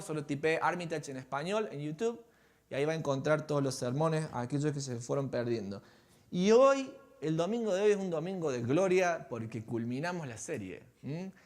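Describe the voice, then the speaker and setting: A man with an Argentine accent, a pastor preaching a sermon to his congregation and asking them questions as he goes. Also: sits high. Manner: conversational, engages congregation with questions. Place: church sanctuary.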